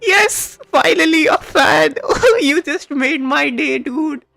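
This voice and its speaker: A man's voice: very high voice, lively intonation, takes it slowly, gravelly, sharp tone, dry recording. A man with an Indian accent chatting casually with viewers on a gaming livestream, informal and hesitant, often repeating himself.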